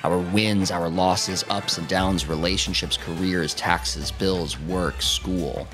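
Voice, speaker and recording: A man in his thirties hosting a podcast, reading a welcoming intro and asking gentle questions. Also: low voice, steady tone, takes it slowly, over music. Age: 30s. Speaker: podcast host. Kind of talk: scripted podcast intro and interviewing.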